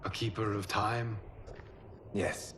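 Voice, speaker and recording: An actor playing a calm, grave fire god and keeper of time in a video game, speaking in a measured, gentle way. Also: male voice, on the low side, slight background noise. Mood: calm, reassuring. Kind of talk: dramatic video game cutscene acting. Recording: music behind the voice. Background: cinematic game score.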